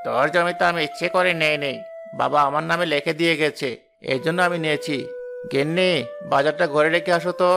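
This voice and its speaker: A man's voice: fairly high pitch, steady tone, fairly quick, creaky. A man voicing a selfish, cold land-grabbing brother in a cartoon, curt and dismissive, over music. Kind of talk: moral-story cartoon acting.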